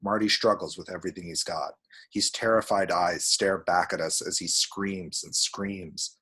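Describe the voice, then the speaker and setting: A man's voice: sits low, steady tone, normal speed, pushed and bright, slightly rough. A man reading screenplay action descriptions aloud as dramatic, vivid narration at a table read.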